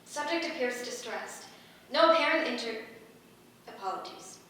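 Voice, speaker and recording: A woman acts a literal-minded, analytical water spirit in a stage play, speaking in stiff, clinical phrases. The voice is on the high side and sounds far off in a very reverberant room. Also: animated, moderate pace, fairly bright tone. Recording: echoey room from far off, faint noise.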